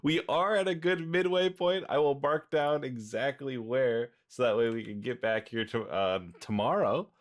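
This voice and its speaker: A man's voice: mid-range voice, normal speed, hardly any pauses. A man hosting a livestream in casual chat, effusive and sweary. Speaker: host.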